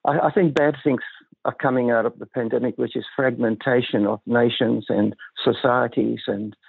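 An older man with a gravelly, husky voice, a guest on an interview show, speaking in a measured, thoughtful way with pauses and restarts. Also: mid-range voice, fairly even intonation, no echo. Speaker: guest psychiatrist. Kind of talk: reflective interview talk. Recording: dry tinny phone-like line.

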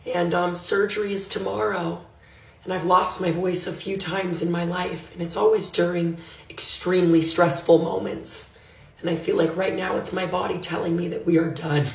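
A hoarse woman speaks in a gravelly, worn voice, her words earnest and emotional. She films herself on a phone at arm's length, and the room around her rings with echo, the voice a little distant from the mic. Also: lowish voice, level tone, moderate pace. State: hoarse from laryngitis. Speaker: social media creator. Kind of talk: confessional phone video. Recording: echoey room, far from the phone.

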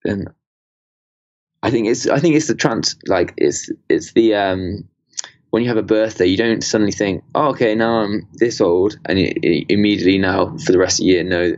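A man's voice: lowish voice, moderate pace, a little mellow, dull audio. A man in his twenties from England, a podcast guest talking casually with many fillers and restarts. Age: 20s. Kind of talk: casual interview chat.

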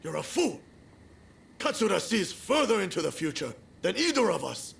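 An actor playing a proud, hot-headed and loyal samurai warrior in a video game cutscene, blunt, defiant and formal in speech. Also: male voice, high-pitched, sing-song, moderate pace, creaky, a little hiss or hum, exaggerated voice. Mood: defiant anger.

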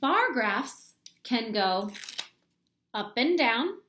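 A woman in her thirties reading a children's book aloud like a teacher, explaining deliberately and asking the young listeners questions. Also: on the high side, sing-song, fairly bright tone, a little hiss or hum. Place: small plain room at home, indoors.

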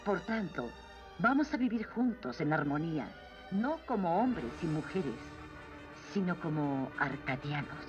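A woman's voice: low-pitched, sing-song, unhurried. A woman voicing a proud, commanding queen in a Latin American Spanish cartoon dub, stately and formal. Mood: solemn, proud. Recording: music behind the voice.

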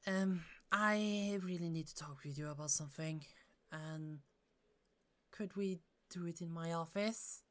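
A woman playing a hurt, fed-up office coworker in an ASMR roleplay, halting and pleading, with pauses and sighs. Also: fairly low pitch, a bit bright. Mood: nervous, hesitant. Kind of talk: ASMR roleplay, slow intimate monologue.

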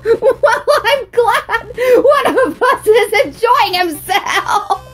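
A woman voicing an excitable, proud younger skeleton brother in an amateur audio drama, breathless and squealing with giggles. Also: extremely slow, over a noisy crowd, exaggerated voice. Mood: laughing protest. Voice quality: squealing, high.

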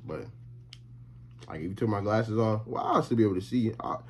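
Deep-voiced teen guy vlogging on a phone. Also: expressive, fairly quick, a little mellow, slight background noise. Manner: rambling and slangy, lots of fillers, talks to chat. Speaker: college student vlogger and streamer. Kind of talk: casual vlog chat to camera. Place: small bedroom and bathroom indoors. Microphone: phone camera held at arm's length or propped.

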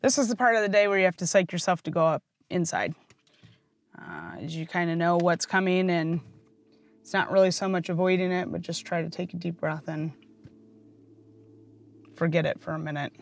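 Steady plain-spoken woman paramedic. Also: on the low side, normal speed, pauses often. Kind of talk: candid documentary interview.

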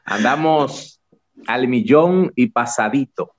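A man's voice: mid-range voice, takes it slowly, muffled recording. A Dominican man in his forties from Puerto Plata, a guest chatting in a playful, self-praising way over a video call. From Puerto Plata, Dominican Republic. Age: 40s. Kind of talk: casual interview chat. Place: indoors, bright room.

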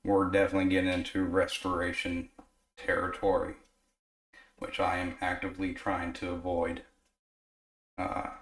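A man with a hoarse voice from a recent illness, casually explaining a radio repair at his workbench. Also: low-pitched, normal speed, pauses often. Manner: relaxed, filler-heavy explaining while working. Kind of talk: casual workshop narration. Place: workbench in a home repair shop.

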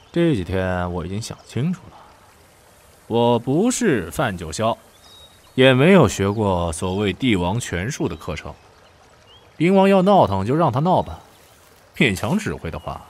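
A man playing a scheming, world-weary young prince in a Chinese period audio drama, speaking in a theatrical, drawn-out way with sighs. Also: lowish voice, a little mellow, a little hiss or hum. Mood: wry, breezy. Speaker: lead voice actor in an audio drama. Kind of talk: period audio drama acting with inner monologue.

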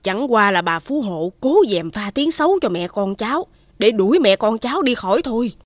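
A woman voicing a sensible, supportive village neighbour in an animated folktale, frank and reassuring. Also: mid-range voice, moderate pace, no room sound, clean studio sound, natural voice.

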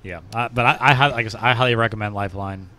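A man's voice: lowish voice, racing, bright, forceful tone. A man chatting casually about games as a podcast co-host, emphatic and opinionated, close on a studio mic. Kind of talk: casual gaming chat. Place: small podcast studio room. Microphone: close studio mic with headphones.

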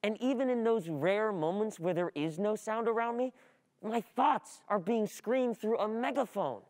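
A young adult performer playing an overwhelmed, distracted student in a halting, agitated radio play monologue. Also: medium pitch, darkish tone, natural voice. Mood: anxious, overwhelmed.

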